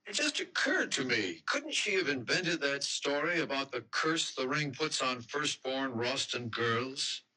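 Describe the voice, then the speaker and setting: A man's voice: mid-range voice, sing-song, measured pace, few pauses, pushed and bright, exaggerated voice, poor audio. An actor playing a sceptical young man in a radio drama, reasoning aloud emphatically. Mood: speculating, intent. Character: young man, sceptical, speculating fiancé on the run.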